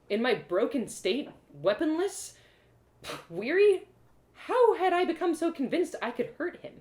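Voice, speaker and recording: A woman reading a first-person fantasy story aloud in a dramatic, expressive way. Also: medium pitch. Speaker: storyteller, author reading live. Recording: roomy echo.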